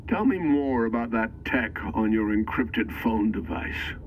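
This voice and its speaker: A man's voice: fairly low pitch, animated, measured pace, a little hiss or hum. A man playing a defensive, wary government insider in an audio drama.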